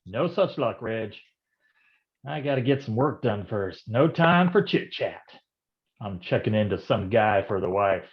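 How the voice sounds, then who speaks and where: A man's voice: fairly low pitch, lively intonation, measured pace, muffled recording. An actor playing a wisecracking, down-at-heel noir private detective in a stage reading, with slangy tough-guy talk. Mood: guarded. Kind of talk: noir stage acting with narrated monologue.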